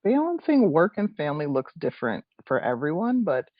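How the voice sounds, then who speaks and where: A man's voice: a little high, huge pitch swings, dry recording. Thoughtful male podcast host, dry lo-fi sound. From USA. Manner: measured, thoughtful questioning. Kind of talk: interview questions. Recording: dry, dull lo-fi recording.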